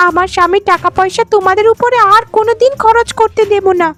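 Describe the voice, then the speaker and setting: A woman voicing a spiteful, arrogant young wife in a cartoon, scolding in a shrill, melodramatic way. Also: fast, fairly bright tone. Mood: indignant, scornful. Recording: music behind the voice. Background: music.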